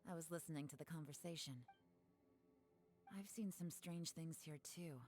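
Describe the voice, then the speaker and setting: Woman voicing a cool, low-voiced sardonic operative. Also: fairly even intonation, normal speed, a little mellow, soft background music, close to an everyday voice. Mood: cool, wary. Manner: composed, dry, pointed. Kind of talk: video game voice acting.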